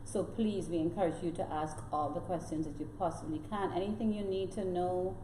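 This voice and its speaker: A woman's voice: fairly low pitch, fairly quick. A woman co-hosting a live talk show, cheerful and encouraging toward the viewers. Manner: cheerful, encouraging, laughs easily. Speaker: co-host. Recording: echoey room with faint noise.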